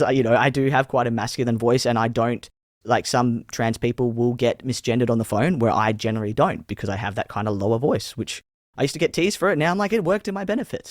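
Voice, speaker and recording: Man, Australian accent, low voice, podcast host, earnest, casual chat, dry sound. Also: dry recording.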